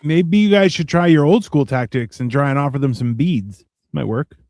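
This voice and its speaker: A man's voice: fairly high pitch, normal speed, darkish tone, no echo. A man in casual panel chat, sarcastic and sweary, given to telling anecdotes. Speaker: talk show panelist.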